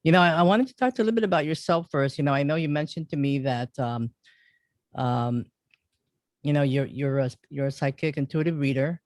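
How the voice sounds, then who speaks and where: A man hosting an interview show, welcoming a guest and asking questions in a halting, loose way. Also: fairly high pitch, darkish tone, dry recording, clean studio sound. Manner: halting, full of fillers and restarts.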